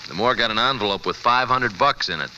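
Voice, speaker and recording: A man's voice: mid-range voice, quick pace, pushed and bright. A man acting a breezy, wisecracking newsroom sidekick in an old radio drama. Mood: brisk, breezy. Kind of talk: 1940s-50s American radio drama acting. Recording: dull old radio recording, faint hiss.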